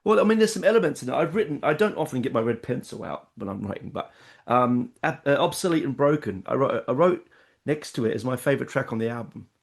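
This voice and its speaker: A man's voice: medium pitch, expressive, fast, creaky, slightly rough. A man interviewing a musician, chatty and enthusiastic about the album. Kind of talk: casual interview chat.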